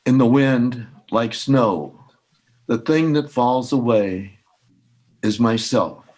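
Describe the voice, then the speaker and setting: A man speaking and reading aloud at an informal poetry reading, halting and unhurried, with pauses. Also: mid-range voice, darkish tone.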